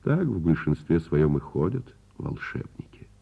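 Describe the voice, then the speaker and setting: An actor playing a gentle, father-like storyteller telling a bedtime tale in a radio play, musing and expressive. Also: rumbling low, a little slow, creaky, slightly rough, narrow, tinny audio, full character voice.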